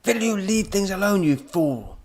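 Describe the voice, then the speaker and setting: A man voicing an irascible mad professor in a children's story, exasperated, melodramatic and given to shouting. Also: mid-range voice, very animated, normal speed, gravelly, breathy edge, voice pushed up, full character voice. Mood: enraged screaming. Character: irascible mad professor, shrieking and barking, easily enraged.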